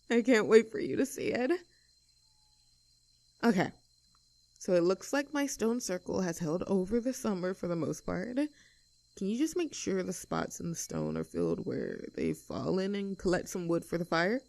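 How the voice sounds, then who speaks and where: A woman's voice: lowish voice, expressive, normal speed, creaky, a little mellow, no echo. A woman playing a young, outdoorsy tomboy friend in an audio roleplay, chatting casually in an upbeat, caring and reassuring way. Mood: cheerful, warm. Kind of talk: roleplay audio acting, casual intimate chat.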